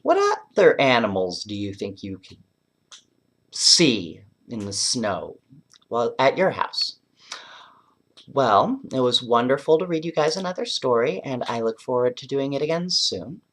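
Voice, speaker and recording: A man reading a bedtime story aloud and chatting to young listeners, expressive and often putting questions directly to them. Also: medium pitch, measured pace. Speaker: children's storyteller.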